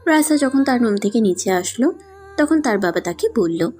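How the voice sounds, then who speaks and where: Lady reading out a story, husky and really lively, music behind her. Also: on the high side, normal speed. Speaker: narrator. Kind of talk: scripted story narration. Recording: music under the voice.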